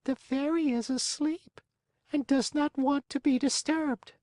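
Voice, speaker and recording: A man doing a high, calm lady snail. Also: dry recording. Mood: calm, unhurried. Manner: calm, peaceful, unhurried. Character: calm, slow old female snail.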